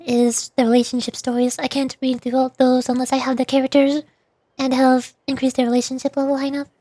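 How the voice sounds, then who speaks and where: A woman's voice: sits high, no echo. A woman livestreamer chatting casually to viewers, rambling and thinking aloud as she goes.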